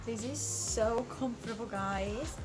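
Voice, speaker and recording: A woman's voice: on the high side, unhurried, over music. A young British woman vlogging casually while shopping, tired after work, giggly and talking straight to her viewers. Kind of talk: casual vlog chat. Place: large furniture store, indoors.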